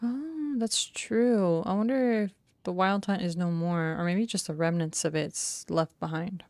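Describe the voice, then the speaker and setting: A woman's voice: on the low side, unhurried, darkish tone, no echo. A woman streamer giving casual, chatty commentary, reacting aloud to a game's story. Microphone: close streaming microphone.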